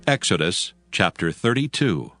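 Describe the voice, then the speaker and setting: A man narrating dramatized scripture in a measured, solemn, scripted style. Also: low-pitched, sing-song, fairly bright tone. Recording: prominent music under voice.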